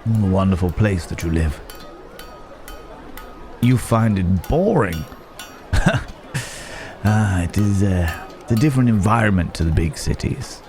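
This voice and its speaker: An actor playing a lonely, courteous werewolf traveller in a fantasy ASMR roleplay, musing hesitantly in old-fashioned phrasing. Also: male voice, deep voice, expressive, a little slow, pauses often, a little mellow, soft background music. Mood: fond, hopeful. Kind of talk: immersive ASMR roleplay, improvised-sounding monologue. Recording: outdoor market crowd noise behind voice. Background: bustling village market ambience.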